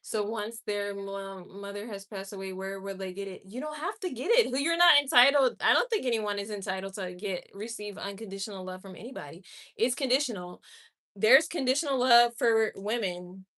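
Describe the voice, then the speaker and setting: A woman's voice: medium pitch, moderate pace, a bit bright. A woman in her late twenties chatting candidly and with strong opinions on a livestream, answering her viewers' comments directly. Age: 20s. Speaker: livestream host.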